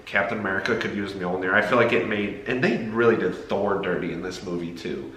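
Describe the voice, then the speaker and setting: Bright-voiced low-pitched man in a roomy space. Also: brisk. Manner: opinionated, filler-heavy with frequent "like". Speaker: co-host of a family video channel. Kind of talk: casual chat reviewing a film. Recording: roomy echo, faint background noise.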